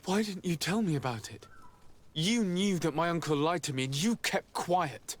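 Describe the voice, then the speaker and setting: An actor playing an earnest young noble envoy in a video game, speaking directly and with formal wording. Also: male voice, on the high side, normal speed, close to an everyday voice. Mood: shocked. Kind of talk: video game cutscene acting.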